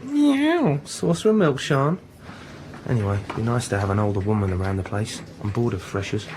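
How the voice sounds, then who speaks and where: A man's voice: fairly low pitch, expressive, moderate pace, darkish tone, a little hiss or hum, natural voice, poor audio. Wry young research scientist, played by a man. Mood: teasing. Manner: wry, casual, smooth-talking. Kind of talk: naturalistic radio drama acting.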